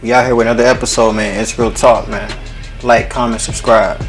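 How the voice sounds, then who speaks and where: A man's voice: on the low side, flat delivery, a little fast, faint music behind. A man hosting a casual podcast interview, laid-back and affirming. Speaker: podcast host.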